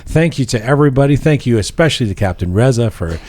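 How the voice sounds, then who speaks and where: Male podcast host, Canadian accent, playful and teasing, very expressive pitch. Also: fast talker. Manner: lively, joking, playful teasing. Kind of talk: casual chat reading listener mail.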